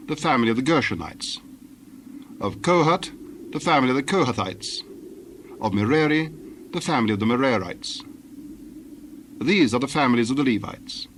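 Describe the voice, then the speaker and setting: Guy reading the bible as narrator, formal n measured, mid pitched, noisy ambience behind him. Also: a bit bright. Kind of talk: scripted dramatized scripture narration.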